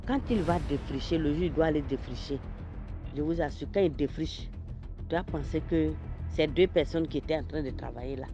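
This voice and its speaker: An Ivorian man speaks in a fairly high voice, slowly recounting a strange story and circling back over his phrases for the listeners. He recorded it as a phone voice note, so it sounds dull and muffled. Loud music plays underneath the whole time. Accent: Ivorian French. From Ivory Coast. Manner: slow, repetitive storytelling addressed to listeners. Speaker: caller sharing a story. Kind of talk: personal mystical story told in a voice message.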